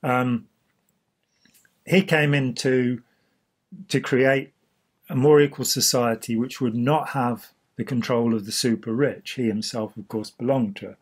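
A man, slightly hoarse, explaining history in a casual chat and gesturing freely as he talks. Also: medium pitch, steady tone, unhurried. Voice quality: husky. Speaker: host. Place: small indoor room.

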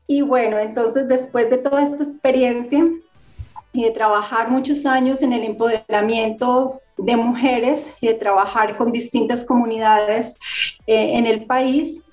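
A woman's voice: on the high side, steady tone, a little slow, darkish tone, soft background music. A woman writer from Colombia with a Bogotá accent, speaking earnestly and reflectively as an interview guest over a video call. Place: home room indoors. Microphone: laptop mic on video call. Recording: roomy lo-fi video call audio.

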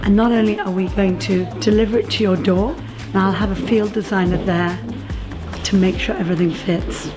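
A woman in her fifties, co-presenting in casual chat, brisk and chummy. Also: medium pitch, fairly even intonation. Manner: brisk, chummy, calls people darling. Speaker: co-host, designer. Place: flea market and car. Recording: music bed underneath.

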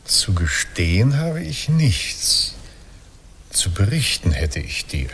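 Evasive old-world hero husband in a radio drama, really slow formal talk, kinda low. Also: male voice, very animated, fairly bright tone, slight background noise, exaggerated voice. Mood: guarded. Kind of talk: classic German radio play acting.

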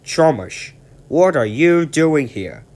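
A man's voice: on the high side, very animated, slow, a little mellow, voice pushed up, exaggerated voice. Man playing a stern portly railway director. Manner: firm, lecturing.

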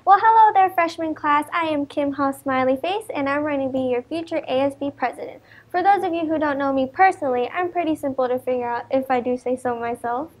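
Chatty teen girl, high voice, student campaign speech to camera. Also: a little fast. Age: teenager, about 14. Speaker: student election candidate.

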